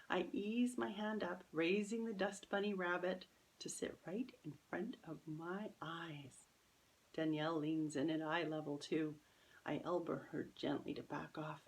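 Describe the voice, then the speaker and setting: A woman reading her own children's story as expressive, careful, dramatic narration. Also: lowish voice, gravelly, low-quality recording.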